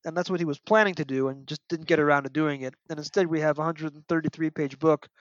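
Higher-voiced man, opinionated storyteller. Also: steady tone, fast, dry recording. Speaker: podcast guest, fan and parent. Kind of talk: casual book discussion. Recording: dull, dry lo-fi audio.

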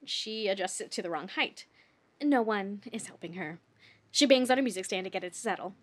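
A woman's voice: mid-range voice, lively intonation, a little fast. A woman hosting a podcast and narrating, moving between casual chat and read stage directions.

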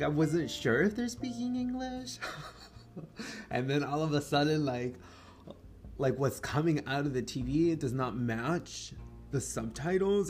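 Podcast host, male, Mexican-born, Spanish first language; mid-pitched, rambly film chat over faint music. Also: darkish tone. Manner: rambling, many fillers and restarts, swears casually. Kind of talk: casual film review chat.

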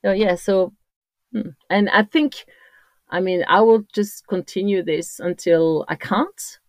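A woman's voice: mid-range voice, measured pace, no room sound. A woman in her fifties from Corsica with a French accent, chatting as a podcast guest in a rambling way with many restarts. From Corsica, France. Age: 50s. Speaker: podcast guest, trail runner. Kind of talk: casual interview chat.

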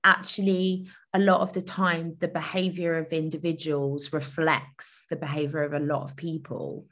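A woman guest speaking thoughtfully in a casual discussion, measured and drawing out key words. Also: deep voice, level tone, fairly bright tone. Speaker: guest, co-founder.

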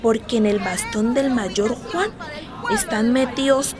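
Little girl played by a woman, radio play. High, very unhurried, innocent and wondering, simple repetitive storytelling. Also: animated, crowd noise. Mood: puzzled, wondering. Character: eight-year-old displaced girl, innocent, wondering storyteller. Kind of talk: radio drama monologue.

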